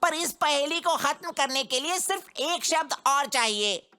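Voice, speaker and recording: Bright, high-pitched little cartoon woodpecker. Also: female voice, lively intonation, measured pace, exaggerated voice. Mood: eager. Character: chirpy, eager little cartoon woodpecker, impatient puzzle solver. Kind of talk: children's cartoon dubbing.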